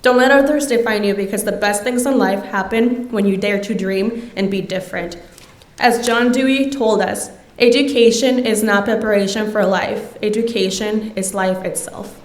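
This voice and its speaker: A woman's voice: mid-range voice, moderate pace. Young woman in an echoey hall. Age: young adult. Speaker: graduating student speaker. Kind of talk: prepared commencement speech. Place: large auditorium stage. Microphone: podium microphone. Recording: echoey hall, podium microphone.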